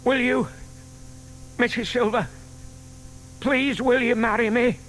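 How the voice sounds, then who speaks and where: An older man acting a timid, lovestruck gentleman in a children's audio drama, earnest and nervously muttering. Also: very high voice, fairly even intonation, slow pace, a little hiss or hum, heavily acted. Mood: tender, hopeful.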